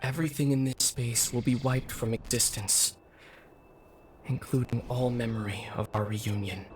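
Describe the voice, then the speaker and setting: An actor playing a solemn, weary young man, a long-lost twin brother in a game, who speaks softly and gravely in measured lines. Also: mid-range voice, fairly even intonation, a little hiss or hum, close to an everyday voice. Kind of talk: scripted game voice acting. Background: background music.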